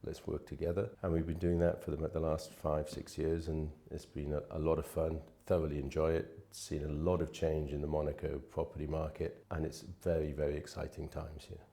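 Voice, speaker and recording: A middle-aged man from Wales, a real estate manager, answering interview questions in a relaxed, anecdotal way with frequent restarts. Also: very deep voice, fairly quick, creaky, hardly any pauses.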